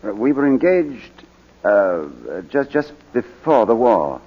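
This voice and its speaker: An actor playing a middle-aged British baronet and war veteran on the witness stand, hesitant and clipped, in 1940s radio drama style. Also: male voice, mid-range voice, big pitch swings, takes it slowly. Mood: hesitant, reserved. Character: proud English baronet, war-wounded veteran testifying in court. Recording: muffled vintage 1940s radio broadcast.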